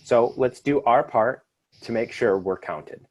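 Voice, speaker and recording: A man's voice: medium pitch, unhurried, darkish tone, breathy edge. A man leading a children's activity, giving instructions to kids and families in an upbeat, encouraging way.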